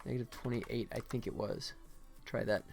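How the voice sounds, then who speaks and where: American male livestreamer, mid-pitched, informal and rambling, talking through his work over background music. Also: normal speed, creaky. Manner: rambling, thinking aloud. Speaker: livestream host, game developer. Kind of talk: casual livestream chat while working.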